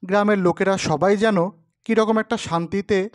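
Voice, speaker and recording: A man's voice: high-pitched, steady tone, normal speed. A man narrating a ghost story as a storyteller, in a dramatic scripted style. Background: background music.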